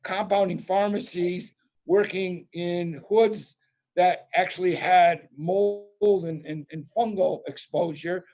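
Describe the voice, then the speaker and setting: A man with a fairly high voice that still sounds mellow and soft-edged. He explains things step by step to an online audience, with plenty of "uh" and "you know" as he goes. It sounds like he is speaking over a video call. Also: fairly even intonation, slow. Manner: explanatory, many fillers and restarts. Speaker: presenter. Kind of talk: webinar presentation.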